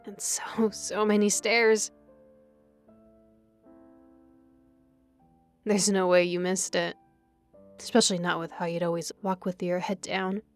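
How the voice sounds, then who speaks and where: A woman playing a menacing, mocking lighthouse keeper who taunts her captive in a slow thriller audio roleplay, slipping into breathy whispers. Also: lowish voice, level tone, faint music behind, close to an everyday voice. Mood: bored taunting.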